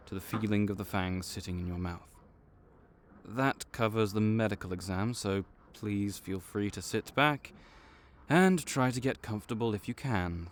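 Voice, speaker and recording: A man voicing a calm, bureaucratic male vampire counselor who has done the job for decades, speaking in a measured, clinical way in an audio roleplay. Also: on the low side, slight background noise, lightly acted. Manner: measured, clinical, patiently reassuring.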